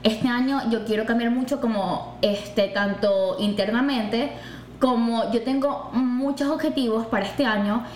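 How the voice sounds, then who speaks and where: A young woman with a Venezuelan accent, co-hosting a casual podcast chat, animated and emphatic. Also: a little high, unhurried. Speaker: podcast co-host. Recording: echoey room with faint noise.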